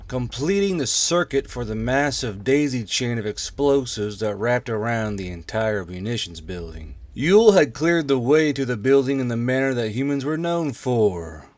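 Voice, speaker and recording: A man's voice: medium pitch, no room sound. A man reading a fantasy war story aloud as scripted narration. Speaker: narrator, audiobook reader.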